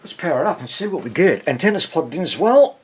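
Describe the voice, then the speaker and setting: A man giving casual, hands-on repair commentary as he hosts a video, thinking aloud in a husky voice. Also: on the high side, sing-song, fast talker, slight background noise. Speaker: vintage radio repair hobbyist hosting video.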